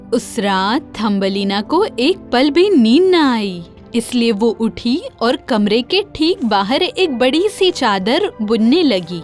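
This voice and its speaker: A woman's voice: fairly high pitch, a little mellow. A woman narrating a scripted fairy tale for children as the storyteller.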